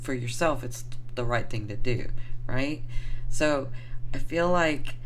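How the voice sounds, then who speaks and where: A hoarse woman who is getting over the flu, giving an affectionate, rambling tarot reading to her viewers with lots of fillers. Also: extremely low pitch, expressive, takes it slowly, slight background noise, poor audio. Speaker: tarot reader.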